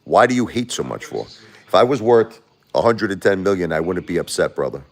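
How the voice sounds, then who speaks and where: Deep-voiced New man on a livestream. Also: expressive, a little fast. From Brooklyn, New York. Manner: blunt, repeats himself, spars with critics. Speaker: livestream host. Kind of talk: casual livestream chat answering viewers. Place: home.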